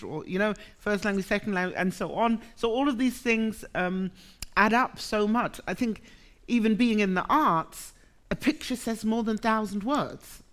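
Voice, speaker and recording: A middle-aged woman from the Caribbean who grew up in Germany, speaking as a professor on a lecture panel in a reflective, animated way, laughing easily. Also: medium pitch. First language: English, Caribbean variety. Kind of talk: panel discussion.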